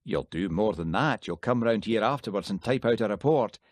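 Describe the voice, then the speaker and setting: A blunt, bossy detective, brisk and dismissive, his voice low, as a male narrator voices him. The reading stays close and dry. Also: expressive, dry recording.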